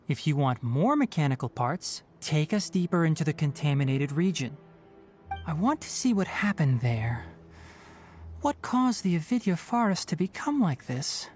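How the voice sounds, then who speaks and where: A man playing a calm, scholarly young forest researcher in a video game, speaking in a measured, articulate, explanatory way. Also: on the high side. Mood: calm, analytical. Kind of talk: video game voice acting, scripted dialogue. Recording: game audio with background music. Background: game music.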